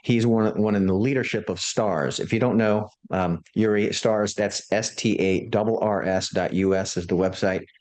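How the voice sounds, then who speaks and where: A man's voice: on the low side, fairly even intonation, moderate pace, few pauses, a little mellow. A man hosting a discussion and reading out questions informally, hesitant and often stumbling over his words. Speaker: host and moderator.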